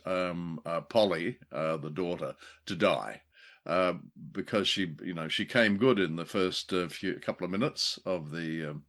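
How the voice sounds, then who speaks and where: Guy with a lowish voice, co-hosting a casual review chat, kinda halting and thoughtful. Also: a bit bright. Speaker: co-host of an old-time radio review show.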